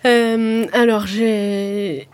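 A woman's voice: mid-range voice, level tone, drawn-out words, a little mellow. A woman in her thirties, a guest coordinator in a casual radio interview, thinking aloud with many restarts and fillers. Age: 30s. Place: radio studio.

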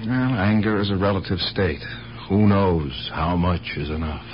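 An actor playing a methodical police detective in a radio drama, questioning patiently but insistently and deliberately. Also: male voice, low-pitched, close to an everyday voice. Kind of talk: 1970s radio drama acting. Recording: muffled, noisy old radio recording.

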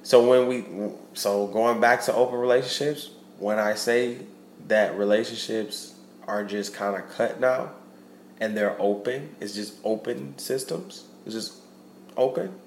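A man's voice: medium pitch, measured pace. A young man hosting a solo podcast, talking loosely with slang, fillers and restarts, and sounding stuffy from a cold. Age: young adult. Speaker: podcast host. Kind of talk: casual solo podcast monologue. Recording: roomy echo with faint ambient noise.

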